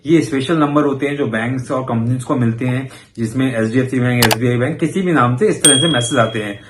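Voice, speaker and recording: Man, mid-pitched voice, informal explainer, conversational, English words mixed in, video host. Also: fairly quick, heavy background noise. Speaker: host of a banking awareness video channel. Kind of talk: informal explainer addressed to viewers.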